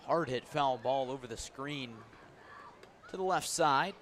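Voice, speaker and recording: A man's voice: unhurried, fairly bright tone. Man calling baseball play-by-play over outdoor noise. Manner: descriptive, lifts and stresses as each pitch comes. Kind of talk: live radio sports commentary. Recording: clear outdoor ambient noise behind voice. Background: outdoor ballpark ambience.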